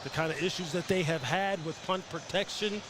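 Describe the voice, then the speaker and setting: A man giving forceful sports analysis on a game broadcast, posing rhetorical questions. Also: on the high side, fairly quick. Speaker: TV game analyst, former player. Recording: noisy stadium broadcast audio. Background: stadium ambience.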